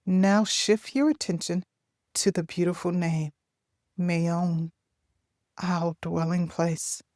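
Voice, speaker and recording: Female meditation narrator, mellow and dark in tone, gentle and unhurried, closely recorded in a dry space. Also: on the low side, steady tone, no echo. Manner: gentle, unhurried, deliberate phrasing with long pauses.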